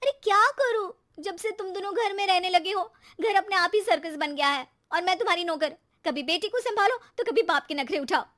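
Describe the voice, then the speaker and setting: A woman voicing an exasperated, sharp-tongued mother and housewife who nags with sarcasm in an animated story. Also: very high-pitched, fairly quick, hardly any pauses, voice pushed up. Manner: sarcastic, nagging, exclamatory.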